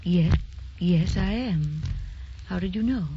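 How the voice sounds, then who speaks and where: An actress playing a kindly, protective middle-aged mother, measured and solicitous, in a sentimental radio drama. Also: lowish voice, noisy background. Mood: concerned, guarded. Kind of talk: sentimental old-fashioned radio drama acting. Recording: muffled old radio recording with music.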